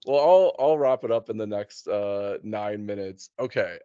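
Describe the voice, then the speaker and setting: A man's voice: fairly low pitch, expressive, measured pace, mellow, clear, resonant tone, no room sound, muffled recording. A man hosting a casual chat, talking in an enthusiastic, conversational way. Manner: enthusiastic, frequent laughter. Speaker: podcast host. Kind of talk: casual chat about role-playing games and films.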